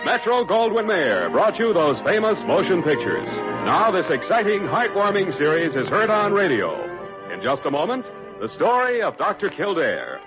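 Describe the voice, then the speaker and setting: A man's voice: high-pitched, very animated, normal speed. A male announcer delivering a dramatic scripted introduction in old-time radio style. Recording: dull old radio recording. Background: loud music.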